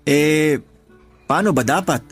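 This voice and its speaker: An actor with a Filipino accent playing a sincere, gently insistent restaurant manager in love, in a radio drama. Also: male voice, on the high side, slow, husky, soft background music. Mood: mildly hurt. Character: earnest male restaurant manager, persistent smitten suitor.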